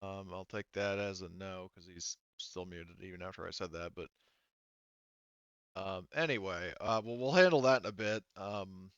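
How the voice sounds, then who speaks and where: A man with a low, clean voice runs a tabletop game as game master. He rambles casually and thinks aloud, and the audio is very dry and slightly dull. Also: normal speed, a bit bright, clear, resonant tone, no echo. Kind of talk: casual tabletop game narration over voice chat. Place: online voice call.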